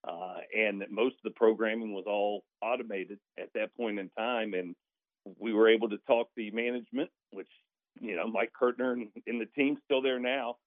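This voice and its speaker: A man's voice: fairly low pitch, fairly even intonation, normal speed, no room sound. A man who works as a sports announcer, chatting casually as a radio guest over a phone call. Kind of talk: casual chat, reminiscing. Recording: narrow tinny phone line, dry.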